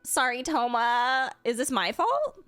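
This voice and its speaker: A woman's voice: sits high, big pitch swings, slow, fairly bright tone, faint music behind. A woman livestreamer reacting to a game in casual, slangy, exclamatory commentary.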